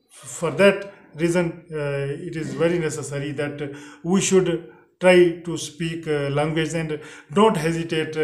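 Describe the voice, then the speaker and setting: Hesitant Urdu-speaking man, echoey room. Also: a little high. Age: middle-aged. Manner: hesitant, frequent uh fillers and restarts. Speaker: English teacher. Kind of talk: spoken English lesson to camera. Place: indoors, plain teal backdrop. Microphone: camera in front, head and shoulders framing.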